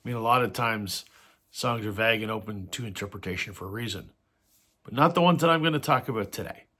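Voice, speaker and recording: Hoarse man with a bright voice. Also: fairly low pitch, fast talker. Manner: conversational storytelling with frequent fillers. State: hoarse from a cold. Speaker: host of a vinyl record channel. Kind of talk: casual talk to camera.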